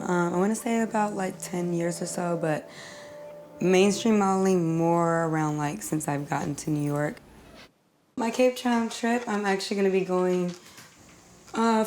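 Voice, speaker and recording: A woman's voice: fairly low pitch, measured pace, darkish tone, a little hiss or hum. Casual woman model. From California. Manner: casual, hedging with fillers. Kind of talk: documentary interview.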